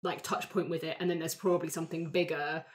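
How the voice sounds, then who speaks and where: A woman's voice: sits low, level tone, fast talker. A woman talking casually as a player in a tabletop roleplaying game, working out her character. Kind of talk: casual collaborative character discussion.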